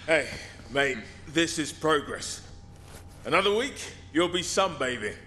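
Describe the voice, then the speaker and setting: A cocky survivor in a zombie video game. He talks slowly with dry sarcasm, yet his pitch moves around a lot. There is faint ambient noise behind him. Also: animated, sharp tone. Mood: wry. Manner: sardonic, dry quips. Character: wry, cocky male zombie-apocalypse survivor immune to infection. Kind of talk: video game cutscene acting.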